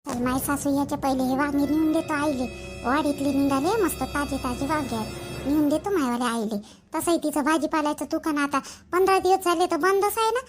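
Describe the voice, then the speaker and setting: A woman's voice: very high voice, big pitch swings, normal speed, music in the background. A woman voicing a timid, resentful young daughter-in-law in a family cartoon, muttering hesitantly in a Varhadi Marathi accent. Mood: scheming. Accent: Varhadi Marathi (Vidarbha). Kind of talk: animated family drama acting.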